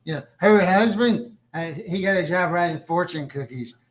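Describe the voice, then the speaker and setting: A man's voice: sits high, moderate pace, close to an everyday voice. A man playing a genial, folksy, easily amused small-town official in a table read of a comedy series. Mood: chatty, friendly.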